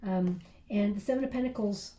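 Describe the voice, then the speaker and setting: A woman's voice: mid-range voice, a little fast, warm tone, echoey room. A woman giving a tarot reading in an encouraging, reassuring way, with frequent fillers. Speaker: tarot reader.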